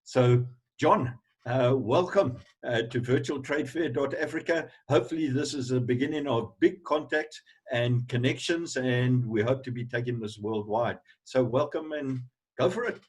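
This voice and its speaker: A man's voice: medium pitch, normal speed, husky, low-quality recording. A man hosting an online event, introducing a guest speaker in a hesitant way, with many fillers. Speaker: host. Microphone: video call.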